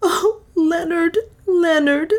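A woman's voice: extremely high pitch, slow pace, voice pushed up, heavily acted. A woman voicing a distraught, fearful young woman in an audiobook, tearful and pleading. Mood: weeping, pleading. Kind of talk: audiobook character acting.

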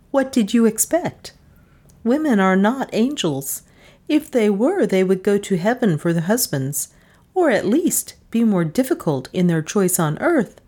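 A woman's voice: medium pitch, warm tone, voice pushed up. A woman voicing a bold, scheming wife with an arch, coaxing manner. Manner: arch, coaxing, theatrical lilt. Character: bold scheming middle-aged wife, sly and domineering.

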